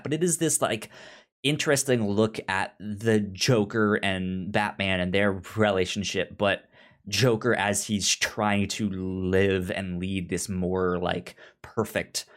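A man co-hosting a podcast, explaining things in a halting, informal, chatty way. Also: low voice, fairly bright tone. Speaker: podcast co-host discussing comics. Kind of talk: casual chat.

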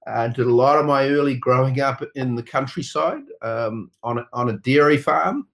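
A middle-aged New Zealand man talks as an interview guest at a middling pitch. He rambles and halts, stitching his thoughts together with lots of "you know"s. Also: moderate pace, vocal fry, fairly bright tone. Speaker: interview guest, tech company co-founder. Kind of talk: conversational interview answers.

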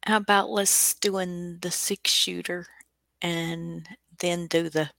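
A woman's voice: on the low side, level tone, slow pace, vocal fry, a bit bright, no room sound. A woman hosting a radio show in casual, somewhat hesitant conversation. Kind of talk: casual chat.

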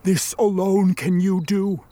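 An actor in a radio play voicing an embittered, vengeful created monster, with a husky voice and grandiose, formal delivery. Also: mid-range voice, steady tone, dark tone, dry recording, lightly acted. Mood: bitter, demanding. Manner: grandiose, theatrical, deliberate delivery. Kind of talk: radio play acting, formal archaic speech.

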